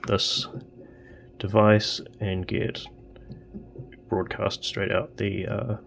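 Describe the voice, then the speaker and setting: A man narrating casually and haltingly as he tinkers at a workbench, thinking aloud. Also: on the low side, flat delivery, creaky, a bit bright. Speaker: host of electronics tinkering videos.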